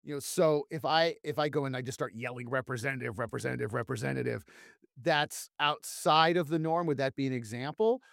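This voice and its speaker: A man's voice: medium pitch, brisk, gravelly, fairly bright tone, no room sound, studio quality. A man hosting an interview, asking the guests questions in a casual, inquisitive way. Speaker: host.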